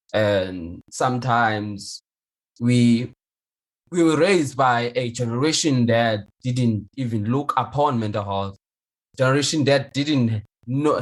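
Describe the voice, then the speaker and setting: A man hosting a podcast, asking his guest questions in a halting way, restarting words as he phrases them. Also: medium pitch, low-quality recording. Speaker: podcast host. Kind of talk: interview questions.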